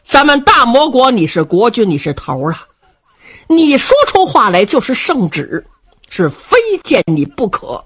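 A woman's voice: on the high side, very animated, a little slow, a bit bright, slightly rough, no echo, clean studio sound, heavily acted. A woman doing a firm, earnest chancellor.

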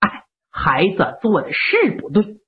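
Woman playing an old pleading matriarch, slow grieving delivery, lowered voice, tinny narrow-band sound. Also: wildly swooping pitch. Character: elderly matriarch, pleading for her grandson.

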